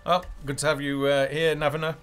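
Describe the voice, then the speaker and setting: A man's voice: fairly high pitch, level tone, fairly quick, fairly bright tone, clean tone. A man hosting a casual game developer live stream, talking off the cuff in a hesitant, rambling way as he thinks aloud. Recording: music playing behind the voice.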